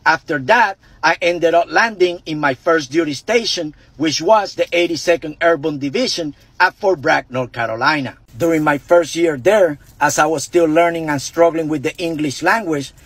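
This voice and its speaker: A man in his fifties from Puerto Rico with a Puerto Rican Spanish accent, a native Spanish speaker telling a story from his car in a measured, deliberate way. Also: fairly high pitch, a bit bright, breathy edge, dry recording. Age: 50s. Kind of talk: storytelling to camera. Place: parked car. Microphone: phone camera close up, earpiece in.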